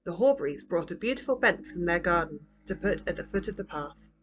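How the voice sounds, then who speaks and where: A woman narrating a children's storybook as its author, in a gentle, expressive manner. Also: lowish voice, brisk, soft background music. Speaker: author reading own book.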